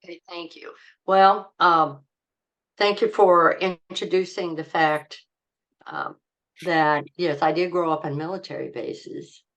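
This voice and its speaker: An older woman, a comedian, telling stories from her life in a rambling, halting way as an interview guest, laughing often. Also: low-pitched, fairly bright tone. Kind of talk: casual interview storytelling.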